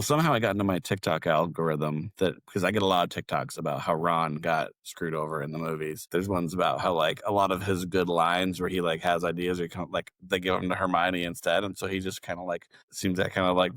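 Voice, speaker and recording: Man, podcast co-host, very low register, informal rambling phrasing, echo-free recording. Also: flat delivery, a little fast, a bit bright. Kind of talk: casual podcast discussion.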